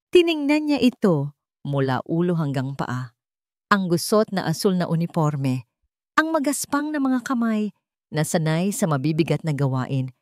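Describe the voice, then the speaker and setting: Expressive, low-voiced woman narrating drama. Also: no echo, studio quality. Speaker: story narrator. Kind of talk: dramatic scripted narration of a romance story.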